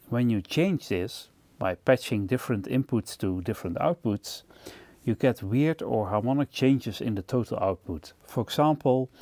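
Male gear-demo presenter, mellow and relaxed tone, explanatory delivery, dry close-miked sound. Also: medium pitch, no echo. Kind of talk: instructional product overview narration.

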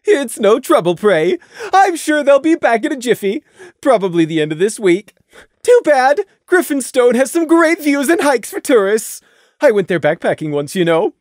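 A woman voicing a bubbly, cheerful female pony officer who talks loudly and pushily. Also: on the high side, sing-song, normal speed, no echo, clean studio sound, voice pushed up. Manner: chirpy, pushy, loud.

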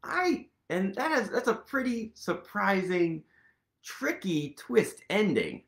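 A man's voice: high voice, big pitch swings, slow, gravelly, a bit bright. A man in his thirties reading to children with playful, exaggerated wonder and questions to the listeners, recorded in a small room. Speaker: storytime reader. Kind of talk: animated children's picture-book read-aloud. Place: small room at home, indoors.

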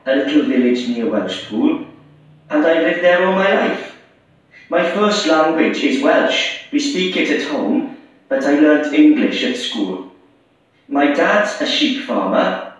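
Guy acting happy young farmer's son on radio interview, boomy echo, sounds far off. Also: natural voice. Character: contented young Welsh farmer's son, school age. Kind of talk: scripted textbook radio interview. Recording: distant muffled echoey playback through speakers.

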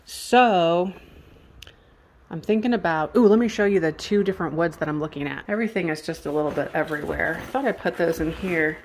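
A woman's voice: on the low side, brisk, a little mellow. A woman chatting casually and informally to a handheld camera as she vlogs, thinking aloud. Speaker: vlogger. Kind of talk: casual vlog chat to camera. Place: indoors, empty house rooms and a supermarket. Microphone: handheld phone or camera, held out. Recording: clear background noise, handheld camera audio.

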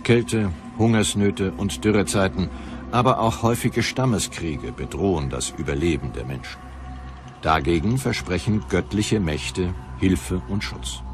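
Male narrator, low voice, scripted history documentary read with music clearly underneath. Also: lively intonation, measured pace. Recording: music behind the voice.

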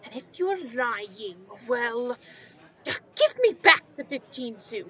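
A grasping, bullying female innkeeper, played by a woman in a radio play. Her voice is high and swings widely in pitch. She is irritable and always counting the money. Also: big pitch swings, slow pace, a bit bright, breathy edge, heavily acted. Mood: scolding. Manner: scolding, fawning toward paying guests. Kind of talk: radio drama acting.

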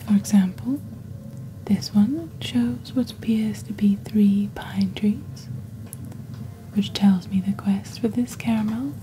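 A woman playing a calm, mysterious witch presenting a shopping channel in soft, breathy, near-whispered ASMR, with slow and deliberate phrasing, close to the microphone. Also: mid-range voice, slight background noise, natural voice, poor audio. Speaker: host of an ASMR roleplay channel. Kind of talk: soft-spoken ASMR scripted product presentation. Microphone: close desk microphone.

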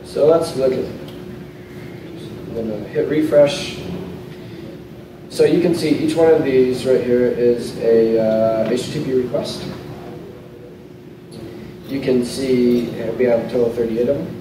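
A man's voice: mid-range voice, fairly even intonation, a little slow, pauses often. A man in his thirties giving a casual technical talk with a live demo in a hotel conference room, loose and conversational, often restarting his sentences. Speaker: conference speaker. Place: hotel conference room, in front of a projector screen. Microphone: lectern mic, recorded from a camera at the back of the room. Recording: very echoey room, voice from far off, clear noise.

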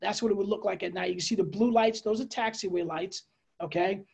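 A middle-aged man with an American accent explains slides as an air traffic controller, conversational and a bit halting, with pauses and asides. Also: high-pitched, creaky, a little mellow, breathy edge. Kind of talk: informal slide presentation, explanatory. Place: indoors, on a video call.

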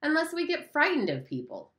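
A fussy, bespectacled boy who earnestly explains things to everyone, voiced by a woman reading the book. His voice is high and bright with lots of pitch movement. He sounds prim and insistent. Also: wildly swooping pitch, brisk, exaggerated voice. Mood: lecturing. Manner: prim, lecturing.

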